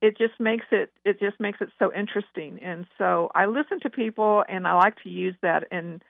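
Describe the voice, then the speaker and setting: Female novelist guest, gravelly edge, chatty storyteller on a thin phone-like connection. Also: mid-range voice, normal speed, dry recording. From Texas. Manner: chatty, anecdotal. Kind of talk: conversational interview answers. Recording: narrow phone-like line.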